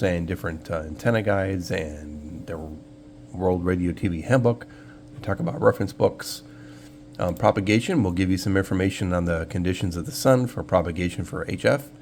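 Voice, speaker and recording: A man's voice: sits low, fairly quick. A man casually hosting a screen walkthrough, explaining things step by step in an informal, easygoing way. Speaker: host of a shortwave radio channel. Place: indoor room, filming a computer screen. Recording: clear steady hum and background noise.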